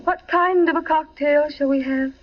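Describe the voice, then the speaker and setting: An actress playing a gentle, perceptive young wife in a 1940s radio drama, composed and quietly knowing. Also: female voice, high-pitched, fairly even intonation, moderate pace, lightly acted. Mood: quietly firm. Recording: dull 1940s radio recording.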